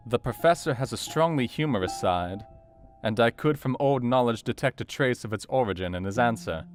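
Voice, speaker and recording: An actor playing a grave young doctor, narrating his account in a measured, sombre manner for an audio drama. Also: medium pitch, expressive, natural voice. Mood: intent. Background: soft guitar music.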